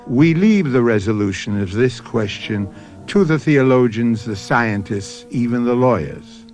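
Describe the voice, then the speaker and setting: A male host giving a measured, reflective scripted closing commentary for a radio drama. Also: medium pitch, animated, darkish tone, soft background music.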